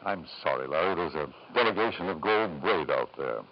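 Through a muffled, noisy old radio recording comes a powerful businessman in middle age. His low voice moves in lively swings, halting and smooth-talking as he presses his charm in period drama style. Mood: expansive. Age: middle-aged. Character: powerful, self-satisfied middle-aged British tycoon, hesitant in charm. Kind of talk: 1950s radio drama acting.

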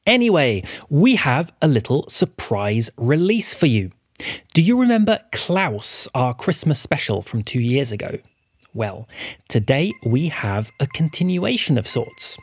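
Guy doing scripted podcast narration, storyteller type, bit husky, super animated, dry close sound. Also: mid-range voice, measured pace, no room sound.

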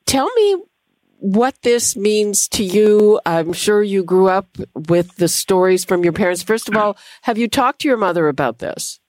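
A woman's voice: mid-range voice, expressive, a little slow, darkish tone, no echo. A woman interviewing a guest in a conversational way, with a few hesitations. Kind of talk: broadcast interview.

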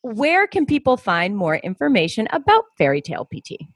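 A woman's voice: on the high side, wildly swooping pitch, moderate pace, very noisy. A woman hosting a podcast interview in a conversational style.

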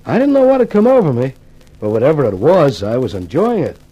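Wry, cocky newspaperman, 1950s radio thriller, dramatic and animated, warm tone. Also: mid-range voice, fairly quick, husky, lo-fi sound. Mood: wry narration. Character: wisecracking newspaper columnist, timid man turned cocky.

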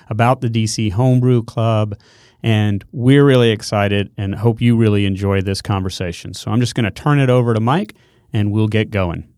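A man's voice: on the low side, a little mellow, smooth, no room sound, clean studio sound. A man hosting a podcast, giving a conversational introduction to an episode. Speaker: show host introducing an episode.

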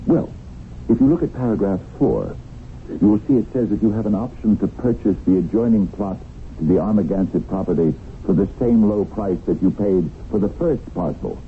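An actor in a 1970s radio drama playing a smooth-talking crooked land dealer who needles coyly while feigning innocence. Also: male voice, lowish voice, moderate pace, close to an everyday voice. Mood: feigned politeness. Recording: tinny band-limited old radio broadcast, hissy.

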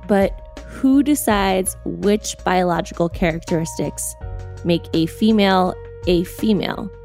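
A woman with a fairly low voice narrates a video news report. Her delivery is scripted, earnest and measured. Faint music plays behind her. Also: a little mellow.

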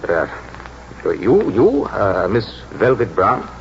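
A man playing a formal, halting chairman of a racing committee, in a 1940s radio drama style. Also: lowish voice, wildly swooping pitch, gravelly, heavily acted. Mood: flustered, stern. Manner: halting, official. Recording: dull, hissy 1940s radio recording.

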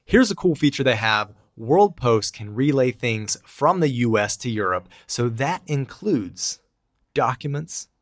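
A man's voice: medium pitch, very animated, measured pace. A man co-hosting a podcast in a casual, conversational style. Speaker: podcast co-host. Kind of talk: casual podcast chat and sponsor reads.

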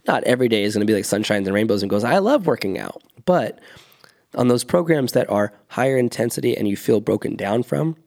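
A man's voice: fairly low pitch, darkish tone. A man in his thirties, a fitness coach co-hosting a podcast, explaining earnestly in a casual chat with restarts.

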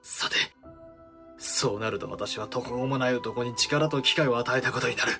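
An actor playing a shrewd, commanding samurai regent in a Japanese period audio drama, with authoritative, weighty archaic speech. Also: male voice, mid-range voice, normal speed, music in the background, natural voice. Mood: rueful musing.

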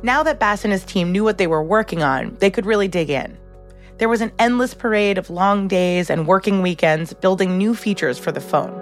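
A woman delivers scripted documentary narration as the host of a podcast. Her voice sits at a middle pitch for a woman, with soft music underneath. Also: moderate pace.

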